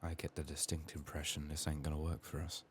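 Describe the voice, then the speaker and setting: A man quietly narrating a game playthrough in soft-spoken ASMR style, murmuring to himself and often dropping toward a whisper. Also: rumbling low, monotone, fairly quick, a little hiss or hum. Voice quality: soft, hushed, breathy in whispers. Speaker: gaming streamer.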